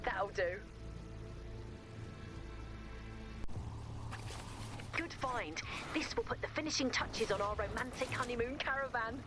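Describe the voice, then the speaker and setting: A woman's voice: a little high, moderate pace, noisy background, lightly acted. A woman playing a self-assured, breezy young builder and proud matchmaker in a video game. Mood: cheerful, satisfied. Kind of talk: animated video game voice acting.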